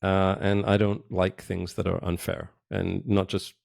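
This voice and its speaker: A middle-aged man from Israel, a podcast guest, talking casually in a direct, reflective way with pauses and restarts. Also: sits low, level tone, creaky, a little mellow, no echo, clean studio sound. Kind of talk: casual interview talk. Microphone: remote call.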